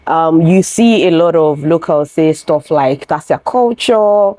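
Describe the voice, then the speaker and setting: A woman gives her view in a relaxed chat among friends. Her voice sits low and sounds warm and mellow. She speaks in a measured, thoughtful way, and the sound is close and dry. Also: no echo. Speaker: guest.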